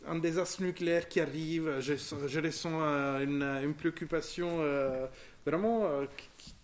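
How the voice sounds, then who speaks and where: A man in his forties from southern Italy, with an Italian accent, talking casually about an art exhibition and often pausing to search for French words. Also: a little high, steady tone, slight background noise. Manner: hesitant, searching for words, many restarts. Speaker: visitor discussing an exhibition. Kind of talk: casual conversation.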